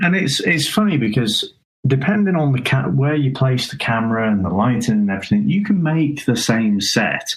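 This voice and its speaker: A man's voice: on the low side, husky, poor audio. A man co-hosting a casual film review podcast, talking in a rambling way with frequent restarts and chuckles. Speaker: podcast co-host.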